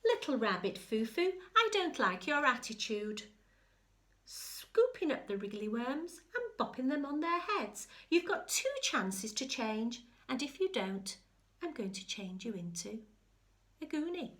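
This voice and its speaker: A stern good fairy from a storybook, played by a woman reading aloud, delivers her warning slowly and emphatically. The telling-off has a sing-song lilt and a raised pitch. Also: big pitch swings, fairly bright tone. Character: scolding good fairy, stern female magical creature.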